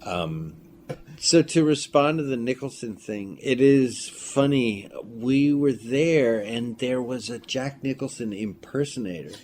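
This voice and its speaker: A man speaking as an interview guest, telling casual anecdotes in an animated, emphatic way. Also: mid-range voice, unhurried. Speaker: filmmaker and writer, interview guest. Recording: clearly noisy room ambience.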